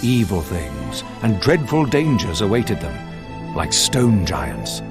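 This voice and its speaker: A man narrating a fantasy video game like a storybook, in a measured, dramatic and ominous way. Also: on the low side, big pitch swings, music in the background.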